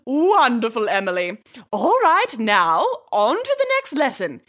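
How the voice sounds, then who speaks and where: A woman playing cheerful, encouraging characters in a children's audio story, in a very expressive manner. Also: fairly high pitch, unhurried, heavily acted. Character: cheerful, expressive young girl and encouraging schoolteacher.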